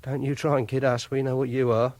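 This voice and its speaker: An actor playing an obsessive, lonely, self-pitying English clerk, confiding haltingly in a first-person radio drama monologue. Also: male voice, mid-range voice, flat delivery, a little mellow, dry recording, natural voice. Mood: awkward, confiding.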